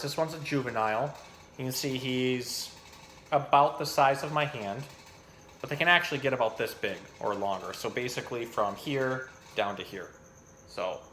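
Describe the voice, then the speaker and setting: A man's voice: mid-range voice, a bit bright, slight background noise. A man presenting informally as a zookeeper hosting an educational animal storytime, explaining things in plain, everyday language. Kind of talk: casual educational talk and storybook reading.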